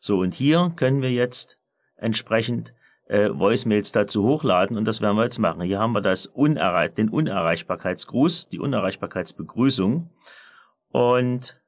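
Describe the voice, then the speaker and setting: A man presenting a software screencast tutorial, explaining methodically step by step in a semi-spontaneous walkthrough. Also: on the low side, expressive, normal speed.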